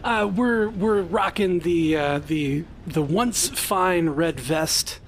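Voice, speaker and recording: A man appearing as a guest player on a game podcast, describing things casually and a little hesitantly. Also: takes it slowly. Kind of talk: casual chat, improvised character description. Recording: clear background noise, low rumble.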